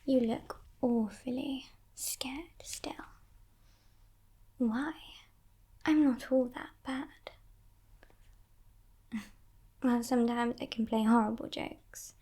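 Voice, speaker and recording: A woman voicing a playful, menacing female demon in a breathy, teasing whisper for an ASMR roleplay. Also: fairly high pitch, fairly even intonation, full character voice. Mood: coaxing, menacing. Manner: teasing, condescending, softly sinister, unhurried.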